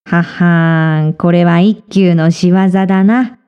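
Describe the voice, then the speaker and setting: A stern old temple priest from a storybook, acted out by a woman. He scolds pompously in old-fashioned speech, slow and weighty. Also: fairly low pitch, steady tone, clear, resonant tone, muffled recording, pitched below the performer's own voice. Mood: suspicious.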